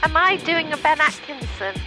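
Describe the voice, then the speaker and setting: A woman's voice: high voice, sing-song, normal speed, sharp tone, soft background music. A woman chatting casually as a passenger, in a playful, appreciative way. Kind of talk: casual chat.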